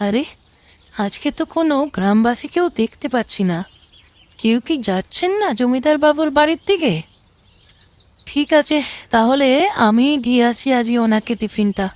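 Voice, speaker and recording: A woman's voice: a little high, lively intonation, normal speed, a little mellow, lightly acted. A woman voicing a caring, dutiful young village wife and mother in a cartoon, with a homely, reassuring manner. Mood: searching, resolved. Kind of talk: Bengali cartoon voice acting.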